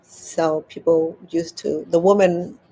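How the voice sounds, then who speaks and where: Woman telling a story haltingly. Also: on the low side, steady tone, mellow, husky, low-quality recording. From Cambodia. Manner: halting, repeats words as she searches for them. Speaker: crochet vlogger. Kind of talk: casual storytelling while crafting.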